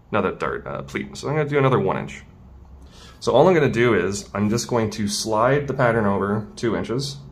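A man with a fairly low voice walks through a hands-on task at a work table. He speaks informally, thinking aloud as he goes, like an instructor showing a craft. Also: slight background noise. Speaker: tailoring instructor. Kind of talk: casual hands-on demonstration.

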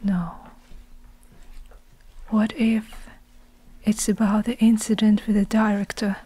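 Very slow, whispering young woman. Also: mid-range voice, level tone, breathy edge. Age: young adult. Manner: slow, hushed, deliberate, with long pauses. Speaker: ASMR performer narrating a story. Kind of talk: whispered ASMR story narration.

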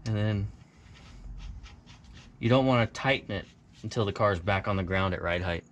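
A young man in a garage at night, casually explaining, step by step, how he lowers his car, picked up by a camera mic on the floor. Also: normal speed, a bit bright. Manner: laid-back, laughs easily, explains step by step. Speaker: car vlogger working on his car. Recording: tool clatter and ratchet noise.

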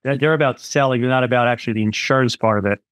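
A man's voice: mid-range voice, very fast, a bit bright, no echo, studio quality. A middle-aged man, an independent insurance agent, explains things frankly over a video call, with many "um"s and "you know"s. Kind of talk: explanatory interview talk.

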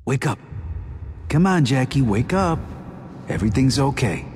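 A man's voice: medium pitch, sing-song, unhurried, a little mellow, slight background noise. A man playing a soothing, patronizing asylum orderly in a video game, coaxing and placating. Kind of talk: naturalistic video game acting.

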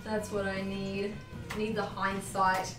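A young Australian woman chatting to her livestream viewers, animated and self-mocking, laughing often. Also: mid-range voice, a little slow. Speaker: livestream host. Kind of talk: casual livestream chat. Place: home sewing room. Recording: roomy, distant, faint music behind.

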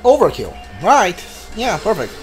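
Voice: medium pitch, huge pitch swings, a little slow. A video game streamer giving casual commentary on a blind playthrough. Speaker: gameplay streamer. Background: game music behind the voice.

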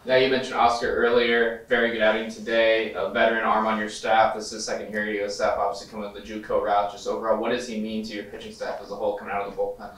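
A man asking prepared questions from across a press room, his voice distant and roomy off the mic. He talks quickly and flatly, with little rise or fall, and sits a touch low in pitch. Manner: reads prepared questions in a flat, quick delivery. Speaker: sports reporter.

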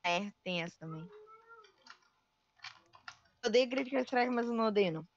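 Casting: teen or young adult gamer, higher voice, excitable and teasing, muffled cheap mic. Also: male voice, normal speed. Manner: excitable, teasing, sing-song outbursts. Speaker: channel host. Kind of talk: casual gameplay chat. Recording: dull lo-fi voice chat.

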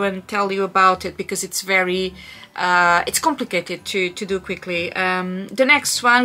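A woman's voice: medium pitch, pushed and bright. A woman in her thirties from Portugal, speaking English with a Portuguese accent, chatting through a casual Q&A with many fillers and restarts. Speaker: vlogger. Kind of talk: casual Q&A chat.

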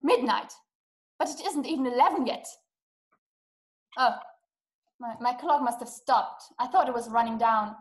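A woman's voice: a little high, measured pace, long pauses, close to an everyday voice. A young woman playing an anxious, self-pitying, imperious invalid wife in a drama performed over video call. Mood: uneasy. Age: young adult. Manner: agitated, imperious, complaining. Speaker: actress. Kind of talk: stage acting in a radio-play adaptation. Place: small room indoors. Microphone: webcam video call. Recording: roomy laptop video-call sound.

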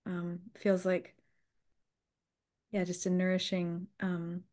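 A woman's voice: fairly low pitch, monotone, takes it slowly, darkish tone. A woman co-hosting a live video call in casual chat, speaking hesitantly in trailing phrases. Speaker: writer co-hosting a live online roundtable.

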